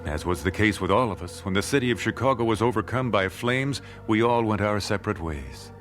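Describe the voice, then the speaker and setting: An actor playing an earnest nineteenth-century American gospel singer in a radio drama, speaking in a measured, solemn, dramatic way. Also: male voice, on the low side, a bit bright, soft background music, natural voice. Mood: solemn, reflective. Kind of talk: radio drama narration and dialogue.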